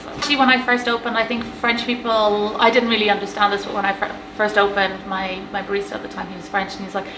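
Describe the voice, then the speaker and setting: A woman's voice: medium pitch, flat delivery, fast talker, few pauses. A woman in her thirties with a Galway Irish accent, a café owner chatting casually in an interview with lots of fillers. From Ireland. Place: inside her small café. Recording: echoey café room with background noise.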